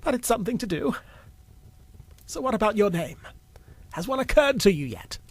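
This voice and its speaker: A man's voice: high voice, very animated, normal speed, no room sound, pitched above the performer's own voice, exaggerated voice. A man voicing an eccentric, mischievous old man in an audio story, theatrical and full of teasing exclamations. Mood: breezy, friendly. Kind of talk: audio story character acting.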